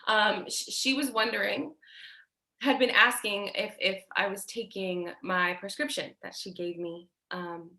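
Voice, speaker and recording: A woman acting a nervous patient who speaks haltingly and stammers in a staged play reading. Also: medium pitch, fairly even intonation, vocal fry, pushed and bright. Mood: nervous, hesitant. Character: nervous patient leaving a halting phone message. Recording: roomy, echoey sound.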